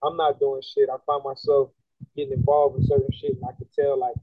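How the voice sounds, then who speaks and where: A young man from the Bahamas talks loose and slangy as an interview guest, leaning in when he argues a point. His voice is a little husky. It comes through a thin, tinny phone-like line with no room sound. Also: mid-range voice, brisk, no echo. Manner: laid-back, slangy, animated when making a point. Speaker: guest singer and songwriter. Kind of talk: casual interview chat.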